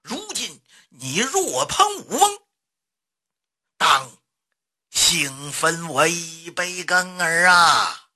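A woman storyteller voicing a shameless, taunting warlord king with a sneering, drawn-out delivery. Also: lowish voice, sing-song, sharp tone, breathy edge, exaggerated voice. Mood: mocking, defiant.

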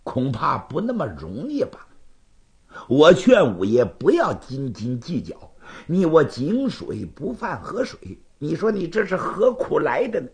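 A courteous male knight-errant, acted by a storyteller, measured and conciliatory yet firm. The voice has a creaky, gravelly grain and very expressive rises and falls. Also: fairly high pitch, full character voice. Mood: patient, reasoning. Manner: measured, conciliatory, gently reasoning. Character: courteous, composed knight-errant, patient but firm under provocation. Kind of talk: Chinese storytelling performance.

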